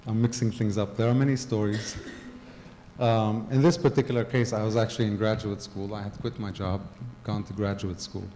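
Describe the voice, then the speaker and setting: Middle-aged man from Gaza, conference talk, low mellow voice, halting delivery, handheld mic in a big echoing hall. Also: level tone, a little hiss or hum. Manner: halting, many ums and restarts. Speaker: conference speaker. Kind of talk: personal storytelling talk. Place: large hall with a stage. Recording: long hall reverb, faint ambience.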